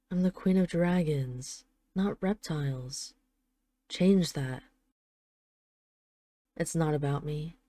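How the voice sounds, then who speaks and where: A woman's voice: low voice, dark tone, no echo. A woman playing a possessive dragon queen in an intimate roleplay audio drama, teasing, condescending and commanding. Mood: offended.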